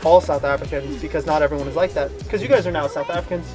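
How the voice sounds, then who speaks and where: A man's voice: a little high, racing, music in the background. Eager young American man outdoors. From United States. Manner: eager, rambling, enthusiastic. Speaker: travel vlogger interviewing hikers. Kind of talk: casual interview. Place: outdoors on a mountain trail.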